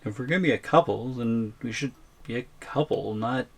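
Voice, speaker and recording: A man's voice: mid-range voice, fairly quick, vocal fry, husky. Guy doing tiny scared boyfriend in asmr rp, normal mid voice, hesitant, kinda pleading n protesting. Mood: pleading, uneasy. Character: tiny, nervous, wary captive boyfriend of a giantess. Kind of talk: ASMR audio roleplay acting.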